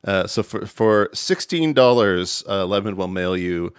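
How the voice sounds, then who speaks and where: A man's voice: low-pitched, animated, measured pace, smooth, no echo, clean studio sound. A man hosting a charity livestream, announcing donation rewards in a rambling, filler-heavy way. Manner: rambling, many fillers and restarts. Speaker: livestream host.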